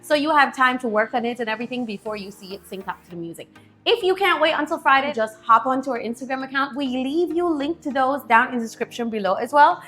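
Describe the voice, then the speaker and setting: A woman's voice: sits high, fast, fairly bright tone. Chatty woman with a slight Spanish accent. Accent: Spanish-influenced English. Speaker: pole dance instructor, co-host. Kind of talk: casual tutorial chat.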